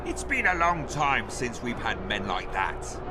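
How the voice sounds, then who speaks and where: An actor playing a cruel, mocking warlord who rules a fighting pit in a video game, commanding and taunting. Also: male voice, mid-range voice, sing-song, a little slow, heavy background noise. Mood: scornful. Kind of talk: dramatic video game acting.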